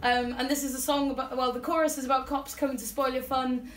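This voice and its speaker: Giggly high-voiced Welsh woman on stage. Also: level tone, quick pace, a loud crowd. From Wales. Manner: giggly, rambling, many fillers. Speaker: singer-songwriter performing live. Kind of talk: chatty stage banter between songs. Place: live music venue. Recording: echoey room from far off, loud guitar.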